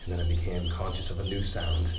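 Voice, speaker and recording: A man's voice: low voice, little pitch movement, fast talker, a little mellow, close to an everyday voice. An actor playing a grim, haunted lighthouse keeper, telling his story with dramatic suspense in an audio horror drama. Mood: hushed dread. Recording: echoey, noisy radio play sound.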